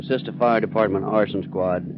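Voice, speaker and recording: A man's voice: on the low side, steady tone, fairly quick. An American man reading police dispatch bulletins in a clipped, official manner. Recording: dull, very noisy vintage radio recording.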